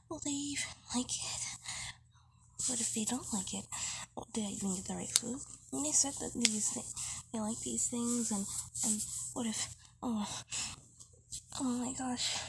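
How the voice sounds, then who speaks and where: A woman playing a nervous, bubbly young woman in an intimate roleplay audio, with flustered, halting, breathy speech. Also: fairly high pitch. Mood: anxious, flustered. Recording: loud cooking noise behind the voice. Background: kitchen cooking sounds.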